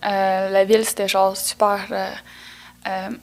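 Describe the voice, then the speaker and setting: A woman's voice: medium pitch, completely flat delivery. A young woman from Quebec whose first language is Atikamekw, telling stories as a podcast guest with a Quebec French accent and many hesitations. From Atikamekw community of Manawan, Quebec. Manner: halting, with frequent hesitations and restarts. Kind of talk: casual conversational storytelling.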